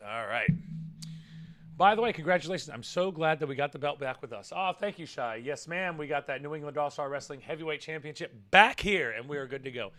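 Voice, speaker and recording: Hoarse, excitable livestream host. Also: male voice, a little high, fairly quick. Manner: rambling, swearing, chatty, bursts of loud excitement. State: hoarse, voice gone from yelling. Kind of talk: casual livestream chat with viewers.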